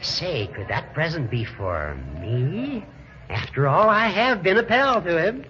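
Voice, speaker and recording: An actor playing a nosy, excitable middle-aged judge in a 1940s radio comedy, with a fussy, wheedling manner. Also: male voice, a little high, unhurried, full character voice. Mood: eager, nosy. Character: nosy, excitable judge, an older male friend and rival. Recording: muffled, hissy 1940s radio broadcast recording.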